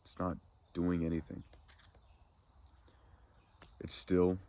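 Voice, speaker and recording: A man hosting a soft-spoken spiritual ASMR talk, congested and nasal from a cold, musing slowly and reflectively. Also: monotone, a little hiss or hum. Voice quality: deep, gravelly. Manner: slow, meandering reflective musing. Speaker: ASMR spiritual channel host.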